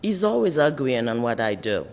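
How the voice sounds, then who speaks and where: A woman's voice: rumbling low, sing-song, normal speed, darkish tone. Echoey courtroom, haitian lady in her 60s just chatting out her answers. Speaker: defendant's wife in court. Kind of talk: casual conversational answers. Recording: echoey courtroom with long reverb.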